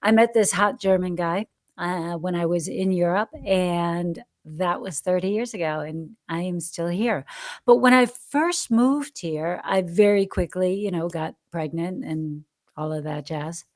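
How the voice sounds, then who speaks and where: Lively American woman telling her story. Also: on the low side, a little slow. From United States. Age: older. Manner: animated, laughs often, acts out quoted dialogue. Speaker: podcast guest, actress. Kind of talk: casual interview storytelling.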